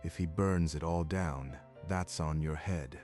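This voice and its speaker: A man voices a composed male headmaster in a manga dub. His voice is very deep, and he speaks in a measured, authoritative way. Faint music plays behind him. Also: darkish tone.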